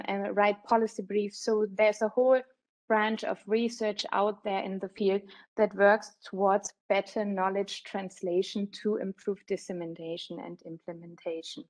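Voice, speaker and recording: A woman's voice: medium pitch, darkish tone. German-native woman lecturing by video call. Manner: measured, explanatory, with frequent "um" pauses. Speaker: guest lecturer. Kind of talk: academic lecture.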